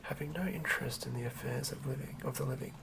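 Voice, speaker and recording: An ASMR host speaking in a soft, breathy whisper, gently and quietly sharing tabletop fantasy material. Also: medium pitch, fairly even intonation, quick pace, very noisy. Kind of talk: whispered ASMR, chatting and reading aloud from a game manual.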